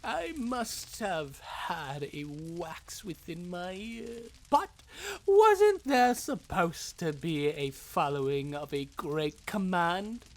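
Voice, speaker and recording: A woman playing an imperious, sharp-tongued servant of a dragon lord in a fantasy audio roleplay, with theatrical, formal phrasing. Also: on the low side, sing-song, slow, slight background noise, full character voice. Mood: mocking, amused.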